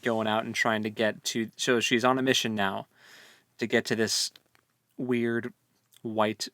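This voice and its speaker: A man's voice: lowish voice, flat delivery, a little slow, fairly bright tone, no echo. A man co-hosting a casual book discussion, speaking informally with jokey, slangy phrasing. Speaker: podcast co-host.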